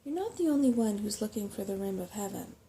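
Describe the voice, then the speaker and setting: Female reader as small male rat mapmaker for a storybook: portentous, fussy, grasping, soft-spoken, background noise. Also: medium pitch, lively intonation, quick pace, lightly acted. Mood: conspiratorial, offended. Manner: portentous, fussy, easily affronted.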